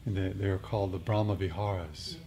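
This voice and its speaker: Low-voiced man explaining haltingly, faint noise. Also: steady tone, mellow. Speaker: meditation group co-leader. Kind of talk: unscripted explanatory group discussion. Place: group meditation meeting room. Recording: faint background room noise.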